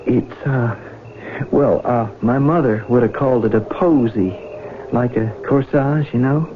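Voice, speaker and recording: A man's voice: mid-range voice, a little slow, slight background noise, lightly acted. An actor playing a shy, earnest young country man, hesitant and folksy, in a 1970s radio drama. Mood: shy, sweet. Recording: tinny 1970s radio broadcast, faint music.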